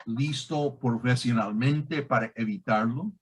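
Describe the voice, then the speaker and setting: A man with a foreign accent who is not a native Spanish speaker, explaining as a guest expert in a halting, emphatic way with grammatical slips. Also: medium pitch, slightly rough. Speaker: guest expert on online scams. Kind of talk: explanatory conversation.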